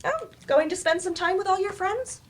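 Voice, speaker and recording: A woman plays a frank, exasperated female therapist in a staged drama. Her voice is high and very lively in pitch, with a pointed, challenging delivery. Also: moderate pace, slight background noise. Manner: pointed, confrontational. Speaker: stage actor in a staged play reading. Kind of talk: staged play reading, dramatic dialogue. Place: library staged reading.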